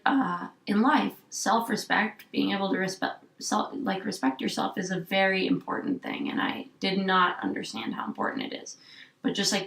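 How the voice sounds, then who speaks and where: A woman's voice: medium pitch, vocal fry, a bit bright, distant mic. A young woman in her twenties in a candid interview, thinking aloud in a rambling, reflective way, with lots of "like". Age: 20s. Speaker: guest. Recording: echoey room, a bit off-mic.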